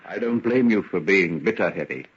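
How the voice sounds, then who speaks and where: An actor playing a genteel, evasive gentleman doctor, hesitant, polite and earnest, in an old-time radio drama. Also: deep voice, expressive, normal speed. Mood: defensive, earnest. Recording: dull, lo-fi vintage radio recording.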